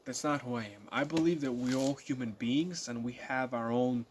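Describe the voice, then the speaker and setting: Casting note: nineteen-year-old man, casual vlog monologue, mid-range pitch, rambling with constant restarts, faint noise behind. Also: moderate pace. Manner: rambling, many fillers and repeated restarts. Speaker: vlogger. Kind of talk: casual spoken monologue to viewers.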